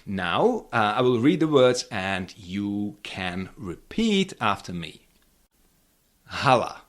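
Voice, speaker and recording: A man's voice: fairly low pitch, big pitch swings, takes it slowly, fairly bright tone. A middle-aged man with a Czech accent teaching pronunciation, modelling each word twice for learners to repeat. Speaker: language teacher. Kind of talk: pronunciation lesson with repeat-after-me drills.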